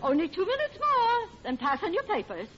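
An actress playing a prim, severe exam supervisor in an old radio comedy, formal and schoolteacherly. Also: female voice, very high voice, wildly swooping pitch, normal speed, music in the background, heavily acted. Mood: indignant, severe. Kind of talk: 1940s radio comedy acting. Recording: muffled old radio recording, faint noise.

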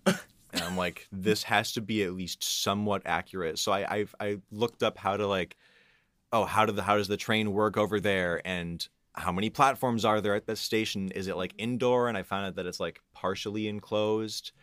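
A man's voice: fairly low pitch, steady tone, moderate pace, a bit bright, no echo, studio quality. A man telling anecdotes in casual chat as a podcast guest, acting out quotes as he goes. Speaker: podcast guest, composer and audio engineer.

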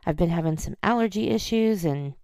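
Woman podcast host, hoarse scratchy voice with a low, mellow tone, rambling friendly chat. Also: expressive, a little fast, no room sound, clean studio sound. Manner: chatty, self-deprecating, rambling. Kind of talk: casual solo chat.

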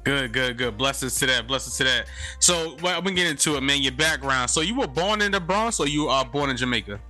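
A New York man hosts a casual podcast, hyping his guest in loose street slang. His voice is very bright and forceful, and faint music plays behind him. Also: medium pitch, lively intonation, fairly quick. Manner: hype introductions, loose street talk. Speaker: podcast host. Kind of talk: casual interview.

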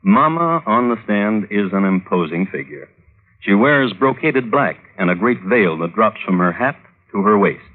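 A man giving wry, mock-solemn scripted narration for an old-time radio drama, deliberate in delivery, on a muffled vintage recording. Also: on the low side, expressive.

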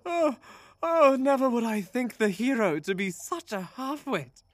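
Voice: mid-range voice, sing-song, measured pace, no room sound, clean studio sound. An actor playing a pompous, cocky sorcerer who serves an empress, haughty and theatrical with flustered hesitations, in a fantasy audio drama. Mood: mocking.

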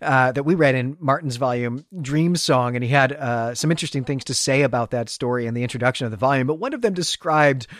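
A man co-hosting a podcast, musing about fiction conversationally and haltingly, often repeating words as he finds his phrasing. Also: medium pitch, dry recording, studio quality. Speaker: podcast co-host. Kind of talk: conversational literary discussion.

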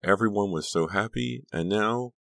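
A man voicing a shaken, guarded high-school girl in an audiobook, hesitant and strained as if holding back feeling. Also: normal speed, creaky, fairly bright tone, no echo. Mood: fragile. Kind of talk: audiobook character dialogue.

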